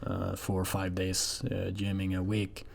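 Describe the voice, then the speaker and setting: A man talking casually in a rambling personal audio log, halting and hesitant, with coarse everyday wording. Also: low voice, monotone, creaky, slight background noise. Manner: hesitant, halting, frequently swearing.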